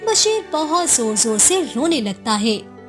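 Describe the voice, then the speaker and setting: Female narrator for cartoon moral tales: high-pitched, animated, dramatic, with faint music underneath. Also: a little slow.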